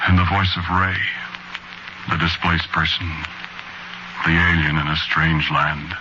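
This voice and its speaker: Radio drama casting: grave, elegiac mourner of a lost world, low voice, noisy vintage broadcast. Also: male voice, little pitch movement, highs cut off. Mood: mournful. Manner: solemn, deliberate, elegiac. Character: grave, sorrowful man mourning his destroyed world. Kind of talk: 1940s radio drama monologue.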